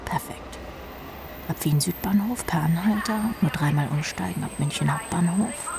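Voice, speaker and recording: An actress playing a wry, paranoid young rock drummer on the run in a radio play monologue, half-whispering to herself. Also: female voice, on the low side, normal speed, vocal fry, noisy background. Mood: tense, wry.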